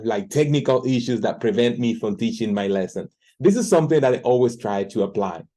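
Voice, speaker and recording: A man in his forties with a Dominican Spanish accent, his voice mellow and soft-edged. He talks earnestly and a little haltingly, restarting phrases. He introduces himself as a tutor into the camera of his home teaching office. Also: medium pitch. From Dominican Republic. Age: 40s. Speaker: language tutor. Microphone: computer camera.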